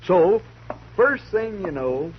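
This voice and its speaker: A man's voice: high voice, very animated, takes it slowly, exaggerated voice. An American man acting a folksy, chatty, self-satisfied small-town newspaperman in a 1950s radio western. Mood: proud, eager. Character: folksy, eager, morbidly cheerful frontier newspaperman, proud of his work. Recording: muffled old radio recording, faint hiss.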